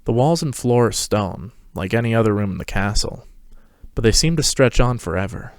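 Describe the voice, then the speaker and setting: A man's voice: lowish voice, animated, normal speed, no echo, studio quality. A man narrating a scripted story as a podcast host, reading it dramatically.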